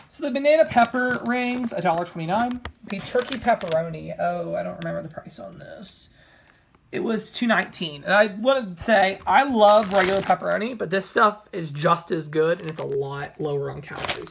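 A woman talking in a casual, rambling, informal way as a vlogger, with a creaky voice, recorded indoors at home on a handheld camera. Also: lowish voice, slight background noise. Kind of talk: casual haul vlog chat. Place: indoors at home, over a table of groceries.

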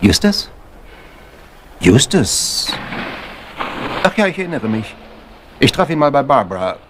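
A haughty parliamentarian in a radio mystery, pompous and self-important. His mid-range voice rises and falls in a lively way, with faint ambient noise behind. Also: medium pitch, measured pace. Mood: dismissive. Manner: pompous, indignant, hesitant. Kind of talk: German crime radio play acting.

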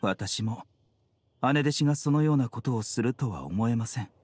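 A man voicing a gentle, composed young healer in an anime game, speaking politely and formally. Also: medium pitch, slight background noise, natural voice. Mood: grave, measured. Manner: polite, soft-spoken, unhurried, formal. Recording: studio voice over background music.

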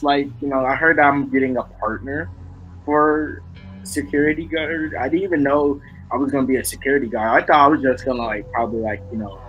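A man's voice: medium pitch, muffled recording, natural voice. A man playing a nervous, naive young night guard who rambles hesitantly in an amateur game roleplay. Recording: loud music under the voice.

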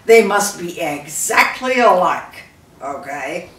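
A woman's voice: on the low side, big pitch swings, slow pace, a bit bright, heavily acted. Woman reading as an earnest dying old king, echoey. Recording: roomy echo, distant microphone.

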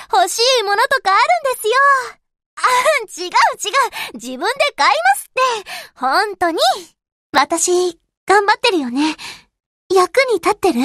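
Cheerful warship girl, anime game character, very high voice, girlish, earnest, sweetly familiar, dry close sound. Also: animated, a little slow, a bit bright, dry recording, heavily acted. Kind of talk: Japanese game voice acting, short scripted lines.

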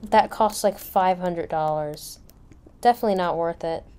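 A woman playing a firm, patient mother in a family roleplay game, talking in a matter-of-fact, gently scolding way. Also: lowish voice, moderate pace, smooth, close to an everyday voice. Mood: firm, exasperated. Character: firm, patient mother getting kids ready for school.

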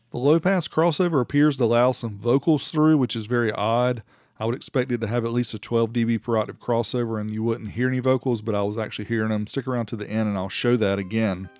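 A man's voice: medium pitch, a little mellow, dry recording, studio quality. A man giving an informal, plainspoken review voice-over as the host of a product channel. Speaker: host reviewing car audio amplifiers.

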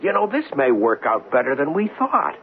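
An actor playing a stubborn American city police sergeant in a 1970s radio drama. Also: male voice, a little high, huge pitch swings, normal speed. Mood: brisk, determined. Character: stubborn male city police sergeant following his hunches. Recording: muffled, hissy old radio broadcast.

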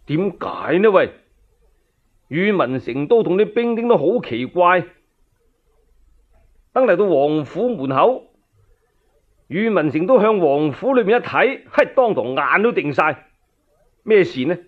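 A man with a Cantonese accent telling a historical novel as a solo storyteller, in an animated, colloquial and dramatic way. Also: sits high, a little slow, long pauses. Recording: dull lo-fi radio recording.

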